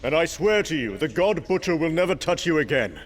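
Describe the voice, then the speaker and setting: A man's voice: a little high, very animated, fairly quick, long reverb tail. A man voicing a proud, defiant thunder god warrior with bold, commanding, declamatory delivery in a dramatic comic book reading. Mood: earnest, resolute. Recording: clear background ambience noise.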